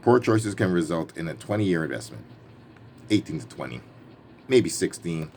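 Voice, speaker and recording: A man with a very low-pitched voice reads from a book with emphasis and a playful streak. He slips between the written text and casual, wry commentary. Clear background noise runs through the recording. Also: sing-song, normal speed, mellow. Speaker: reader and commentator. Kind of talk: book reading with casual ad-lib asides. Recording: clearly noisy background ambience.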